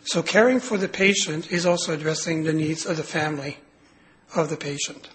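A man with a raspy voice, hoarse from a cold, giving a clinical lecture with many pauses and fillers. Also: a little high, a bit bright. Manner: hesitant, many fillers and restarts. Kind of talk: medical lecture.

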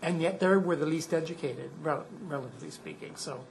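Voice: mid-range voice, fairly quick, creaky, a little hiss or hum, poor audio. An audience member asking a thoughtful, somewhat hesitant question after a talk.

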